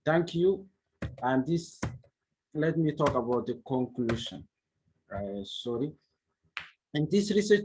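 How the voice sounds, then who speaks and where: A man from Somalia presenting research at an online conference, in halting nonnative English with frequent restarts. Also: on the high side, a little mellow, rough recording. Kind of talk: academic presentation.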